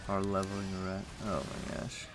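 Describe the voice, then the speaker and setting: Low, gravelly man streaming a game. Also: moderate pace, background noise. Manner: informal, chatting to viewers with gamer slang. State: morning voice. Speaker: game livestreamer. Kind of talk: casual live gaming commentary. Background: music.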